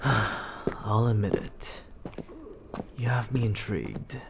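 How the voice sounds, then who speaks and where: A suave, haughty demon lord acted out for an ASMR roleplay speaks very slowly and theatrically into a close mic. The voice is creaky and swings expressively, often sinking into breathy whispers. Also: mid-range voice, lively intonation, background noise. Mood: intrigued.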